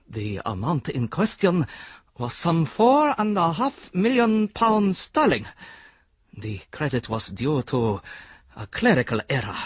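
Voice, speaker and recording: A man's voice: sits high, breathy edge, no echo, lo-fi sound, heavily acted. An actor playing a stiffly polite male senior bank executive reading a clipped official message in a radio drama.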